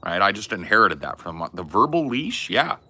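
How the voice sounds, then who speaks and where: A man co-hosting a podcast, telling stories in a rambling, laid-back way with a loud, resonant voice. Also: lowish voice, sing-song, a little fast, slightly rough. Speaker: podcast co-host. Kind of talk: casual chat.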